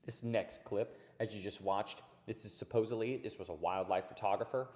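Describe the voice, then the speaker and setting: A man hosting a channel, talking casually and rambling over clips, with a raspy voice that is hoarse from a recent illness. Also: lowish voice, fairly even intonation, moderate pace, a little mellow. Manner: rambling, laid-back, says dude and man. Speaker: channel host. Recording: hollow tunnel-like echo.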